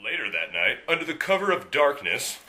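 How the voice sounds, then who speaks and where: A man's voice: medium pitch, sing-song, a little fast, bright, forceful tone, low-quality recording. A man playing a grandiose narrator and announcer in a parody of old western radio serials. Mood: dramatic.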